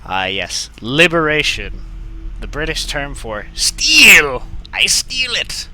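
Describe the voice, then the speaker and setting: A man with a slightly high voice chats through a video game as the host of a let's play. He is casual and jokey, with game music and gunfire behind him. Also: wildly swooping pitch, slow, pushed and bright. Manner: jokey, playful.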